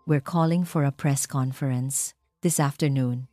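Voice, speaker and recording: A woman's voice: very deep voice, steady tone, dark tone, faint music behind. Woman voicing a firm, commanding Filipino male executive. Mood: firm, calm. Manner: measured, formal, emphatic public-speech delivery. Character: confident, commanding male airline CEO, calm and firm.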